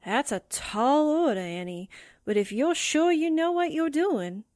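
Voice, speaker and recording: Woman playing 1940s radio sidekick, high-pitched, animated, excitable, eager, slangy, dry sound. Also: a little slow, dry recording. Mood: hesitant, willing. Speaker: actor in an amateur radio drama re-creation.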